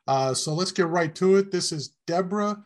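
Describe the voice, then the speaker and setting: A man hosting an interview show, friendly and a little halting as he asks questions. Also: high voice. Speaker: podcast host. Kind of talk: casual interview.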